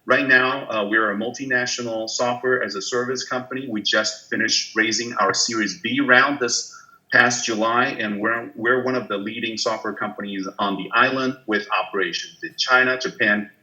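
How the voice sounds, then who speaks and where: A man's voice: mid-range voice, fairly even intonation, moderate pace, bright, forceful tone, poor audio. A business professor from Taiwan, in his forties, giving an online guest lecture with frequent fillers and restarts. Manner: hesitant, many fillers and restarts.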